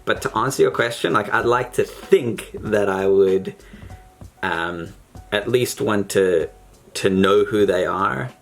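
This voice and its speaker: A man's voice: on the low side, takes it slowly, gravelly, soft background music. A man chatting with a stranger on a video call, reflective and hesitant, with many fillers. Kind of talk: casual conversation.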